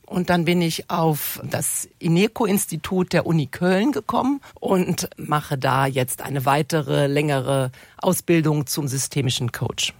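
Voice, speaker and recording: A woman in her sixties with a gravelly, creaky edge to her voice tells her story in a thoughtful, measured way. She speaks as a retirement coach and guest on a radio interview. The sound is close and dry, with no room around it. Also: sits low, a little mellow, no echo. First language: German. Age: 60s.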